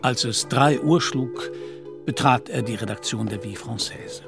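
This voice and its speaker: Male narrator over clear music. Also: mid-range voice, unhurried. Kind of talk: scripted literary narration for a radio play. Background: music behind the narration.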